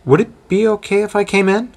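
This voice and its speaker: A man voicing a gentle traveling apostle who speaks calmly and deferentially. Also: high-pitched, fairly quick, creaky, voice pushed up. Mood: reassuring. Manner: calm and deferential.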